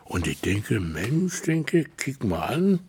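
Radio drama: folksy rambling villager, Berlin-Brandenburg accent, very raspy creaky voice, swinging intonation. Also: male voice, medium pitch, big pitch swings, takes it slowly, a little mellow, full character voice. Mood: sorrowful storytelling. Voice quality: husky, gravelly. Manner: rambling, colloquial storytelling. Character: folksy rural village man mourning his favourite hen. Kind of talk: naturalistic radio play acting.

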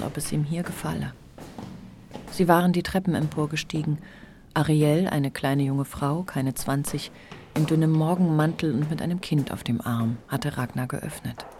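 A woman narrating a literary radio play with measured, deliberate phrasing. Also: low voice, dark tone, a little hiss or hum.

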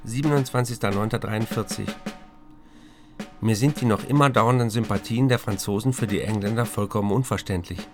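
An actor plays a self-assured writer speaking his letters home in a radio drama. His voice is low, upbeat and confiding, with clear percussive music underneath. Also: fairly quick, close to an everyday voice. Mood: confident, optimistic. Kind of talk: radio play, letters read aloud.

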